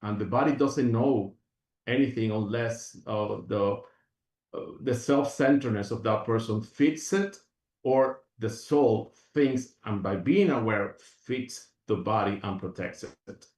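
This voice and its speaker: A man's voice: fairly low pitch, measured pace, darkish tone, roomy sound, recorded from a distance. A man from Venezuela, a guest on an interview show, talking in an earnest, rambling way with many restarts. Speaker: guest, functional medicine practitioner. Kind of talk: reflective interview talk.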